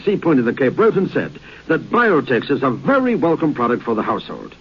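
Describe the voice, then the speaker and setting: A man reads an old radio commercial, persuasive and earnest, his voice a little husky and his delivery lively. The recording sounds dull and dated. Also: mid-range voice. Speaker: advertising announcer. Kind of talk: scripted radio advert reading.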